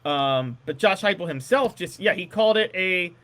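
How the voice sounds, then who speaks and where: A man's voice: high-pitched, expressive, moderate pace, pushed and bright. A man talking casually about sports as a co-host, conversational but emphatic when making his points.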